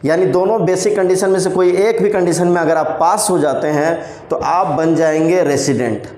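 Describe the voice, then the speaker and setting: An Indian man teaching a commerce class, explaining slowly and checking in with his students after almost every point. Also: sits high, a little mellow. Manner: explains slowly, repeats points, frequent "theek hai" check-ins. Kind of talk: classroom lecture on tax rules. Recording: roomy echoey room sound.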